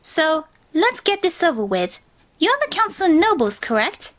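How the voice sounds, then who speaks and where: A woman's voice: very high voice, big pitch swings, moderate pace, fairly bright tone, exaggerated voice. A woman voicing a vain, bratty young pony princess with an imperious, boastful manner in a cartoon fan fiction reading. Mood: annoyed, scornful.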